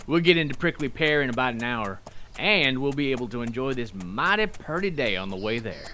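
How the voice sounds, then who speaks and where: Man playing a lively young radio-western cowboy. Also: mid-range voice, fairly quick, background noise, lightly acted. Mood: upbeat, friendly. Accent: American Western. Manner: folksy, polite cowboy talk. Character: young cowboy bronc buster, polite and earnest. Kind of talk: old-time radio western acting.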